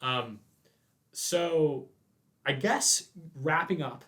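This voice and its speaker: A man's voice: fairly high pitch, extremely slow, fairly bright tone. Casual man hosting a podcast. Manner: informal, laid-back slang. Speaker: podcast host. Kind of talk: casual chat, interviewing a friend.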